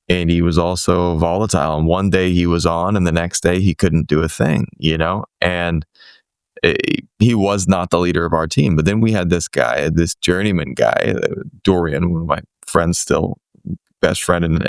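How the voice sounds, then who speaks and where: A man's voice: sits low, clear, resonant tone, no echo, clean studio sound. A middle-aged man from the Boston area, hosting an interview in a loose, rambling way with many restarts and "you know" fillers. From Boston area, USA. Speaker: podcast host. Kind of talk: casual conversational interview.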